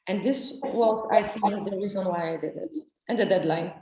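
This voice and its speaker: A woman telling her story in an interview, halting and searching for words, with many restarts. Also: mid-range voice, warm tone, roomy sound. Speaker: coaching client. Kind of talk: testimonial interview.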